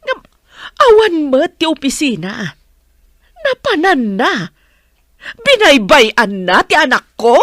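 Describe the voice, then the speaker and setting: A demanding, anxious madam in a radio drama. Her voice is high and very animated. She questions sharply, like a mother used to being answered. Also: takes it slowly, pauses often, dry recording, studio quality, full character voice.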